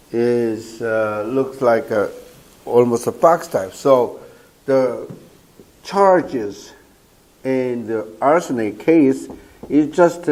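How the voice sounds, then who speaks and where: An older man with a Korean accent lectures in a slow, halting way, pausing on fillers as he works through his points. His voice is hoarse from a cold. He is picked up by a camera across a lecture room. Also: medium pitch, a little mellow, rough recording. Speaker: professor. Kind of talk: university lecture. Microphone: far camera.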